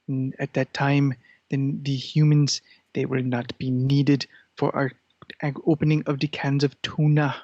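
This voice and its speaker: Man acting as a pompous cat overlord, theatrical halting delivery, scheming, dry dull close recording, comedy podcast character. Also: medium pitch, level tone, a little mellow, no room sound. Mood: pompous, menacing. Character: scheming, pompous male cat bent on ruling humans. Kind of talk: improvised comic character interview.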